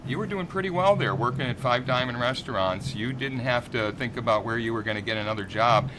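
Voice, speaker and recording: A man's voice: medium pitch, brisk, noisy background. A man hosting an interview, upbeat and reading out his guests' introductions. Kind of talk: conversational interview.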